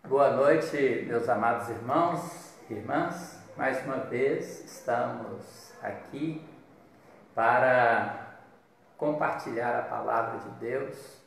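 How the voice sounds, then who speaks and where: A man in his fifties with a Brazilian accent, preaching a devotional in a measured, deliberate way with pauses. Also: mid-range voice, vocal fry, slight background noise. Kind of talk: devotional sermon with Bible reading. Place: small bare hard-walled room indoors. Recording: very echoey room, distant mic.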